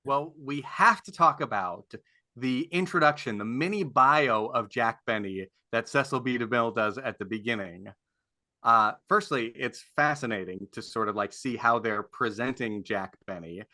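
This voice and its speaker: A man's voice: medium pitch, moderate pace, pushed and bright, dry recording. A man giving casual, conversational commentary as a panelist in a discussion. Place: remote call for a virtual convention.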